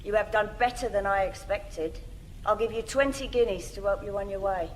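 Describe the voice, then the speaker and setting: A scheming Georgian pie-shop mistress acted by a woman, businesslike and medium in pitch. She sounds off-mic, with faint noise behind her, in period audio drama style. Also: normal speed, natural voice. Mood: smooth, scheming. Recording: distant, faint background noise.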